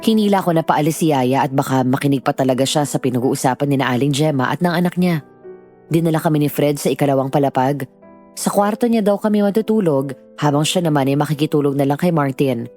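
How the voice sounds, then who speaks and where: A woman's voice: low voice, a little fast, warm tone, soft background music. A woman giving scripted first-person narration of a horror story.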